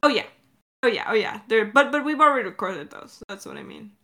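A woman co-hosting a podcast in a casual Q&A chat, talking in an animated, informal, rambling way. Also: fairly high pitch, a little fast. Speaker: podcast co-host and audio drama director.

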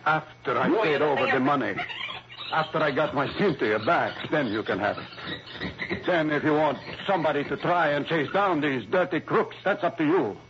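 An actor playing a gruff, agitated middle-aged father in an old-time radio drama, blunt, impatient and defensive. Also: fairly high pitch, normal speed, close to an everyday voice. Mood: desperate. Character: agitated, gruff retired father of a kidnapped girl. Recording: noisy, muffled vintage radio recording.

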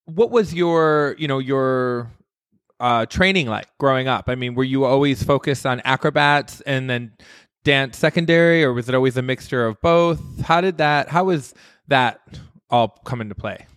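A man's voice: mid-range voice, moderate pace, a bit bright, clear, resonant tone, no room sound, clean studio sound. A man from the Midwest hosting a podcast interview, upbeat and chatty, laughing often. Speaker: podcast host. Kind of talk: casual interview chat.

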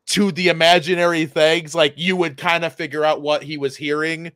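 A man telling anecdotes in a casual podcast chat as a comedian and host, halting and repeating words, with some swearing. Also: on the high side, level tone, a bit bright, no room sound, clean studio sound.

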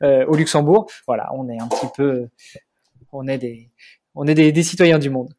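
A man in his forties, a guest on an interview podcast, talking in a measured way with many hesitations. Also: medium pitch, darkish tone. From France. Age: 40s. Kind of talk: casual interview chat.

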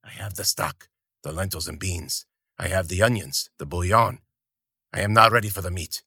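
A man voicing a gravelly, quiet old male cook who talks grudgingly. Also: low voice, moderate pace, no room sound, studio quality, pitched below the performer's own voice, full character voice.

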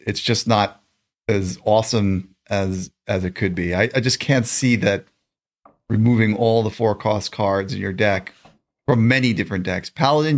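A man with a raspy voice co-hosting a gaming show, chatting off the cuff in a laid-back, rambling way and repeating his words. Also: lowish voice. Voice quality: raspy, gravelly. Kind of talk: casual unscripted chat about a card game.

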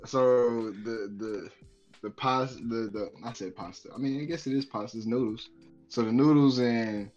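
A young man co-hosts a casual podcast chat in a joking, teasing way, his voice at an ordinary male pitch. The recording sounds dull and lo-fi, with faint music underneath. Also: moderate pace, a little mellow. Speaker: podcast co-host.

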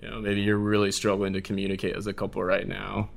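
A man's voice: fairly low pitch, completely flat delivery, quick pace, creaky. A man speaking as a podcast guest, giving earnest, loosely phrased advice about faith and hard times.

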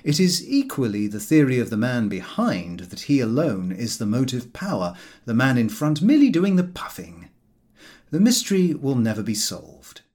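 A man reading a comic novel aloud as an expressive audiobook narrator. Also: medium pitch, moderate pace.

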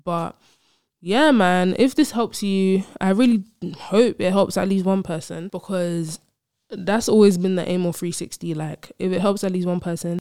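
A woman's voice: fairly low pitch, mellow, smooth, no echo, clean studio sound. Chatty young woman. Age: 20s. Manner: chatty, storytelling, slangy. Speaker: podcast host. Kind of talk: casual solo podcast chat.

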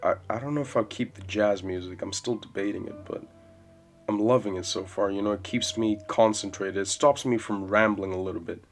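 Young man, solo podcast host, low loud voice, rambling and cursing, faint jazz underneath. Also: fairly even intonation, a little fast. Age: 20s. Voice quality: loud voice with bass. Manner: rambling, casual, swearing freely. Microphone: podcast mic. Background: soft jazz music.

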